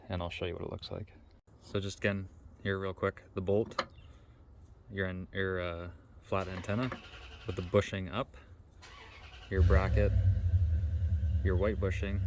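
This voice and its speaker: A man with a low-pitched voice talks through hands-on work as a DIY host. His delivery is level, with little melodic movement, and he lays out each step plainly and casually in a matter-of-fact how-to style. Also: fairly bright tone. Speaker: DIY vehicle mechanic, video host.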